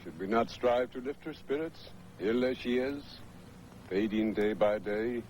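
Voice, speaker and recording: A dignified desert king, played by an actor, speaks with solemn, measured calm. His voice sits mid-pitched, and faint hiss lies under it in this 1950s epic. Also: natural voice, low-quality recording. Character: dignified, gentle middle-aged desert king. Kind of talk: 1950s Hollywood epic film acting.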